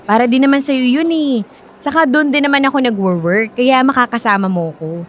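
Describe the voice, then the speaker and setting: Actress as a high-voiced, encouraging Filipino friend. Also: female voice, fairly quick, a little hiss or hum, lightly acted. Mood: friendly, persuasive. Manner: coaxing, supportive, reassuring. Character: encouraging, chatty former classmate, a woman working at a school. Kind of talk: Filipino radio drama acting.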